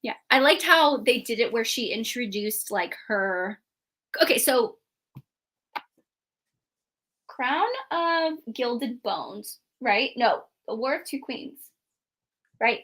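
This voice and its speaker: A woman co-hosting a book discussion, chatting casually in an animated, emphatic, informal way. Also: on the high side, slow pace, long pauses. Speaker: book review show co-host. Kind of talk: casual chat about books.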